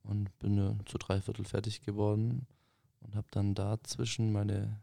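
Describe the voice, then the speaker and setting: A man's voice: lowish voice, flat delivery, measured pace, gravelly, mellow, dry recording, clean studio sound. A man of twenty-five from southern Germany, a guest on a podcast who talks hesitantly and chuckles between sentences. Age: 20s. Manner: hesitant, chuckling, plain-spoken. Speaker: mission school student, guest. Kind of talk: casual podcast conversation.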